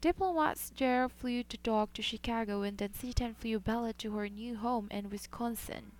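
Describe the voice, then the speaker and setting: A woman narrating a scripted news report in a measured, even delivery. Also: a little high.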